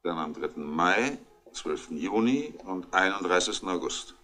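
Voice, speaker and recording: An actor playing a chatty, wryly amused country doctor who thinks aloud and lists details, in a 1960s radio crime drama. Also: male voice, low voice, lively intonation, normal speed, pushed and bright. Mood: matter-of-fact, musing.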